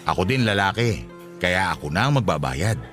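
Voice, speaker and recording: Playful middle-aged dad, Filipino accent, hugely animated intonation, assertive, teasing, radio drama. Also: male voice, low-pitched, normal speed, soft background music. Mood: teasing, insistent.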